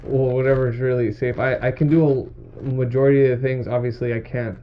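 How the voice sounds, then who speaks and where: A man's voice: mid-range voice, little pitch movement, brisk. A man talking as a patient at a clinic visit, hesitant and agreeable, with many restarts. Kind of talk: casual conversation. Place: clinic exam room. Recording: dull lo-fi phone recording.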